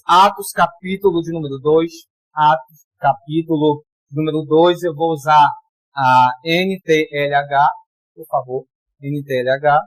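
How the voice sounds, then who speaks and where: A man from Pará, Brazil, preaching a sermon in a conversational way, calling for amens and reading scripture slowly with emphasis. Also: a little high, no room sound, low-quality recording. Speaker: pastor.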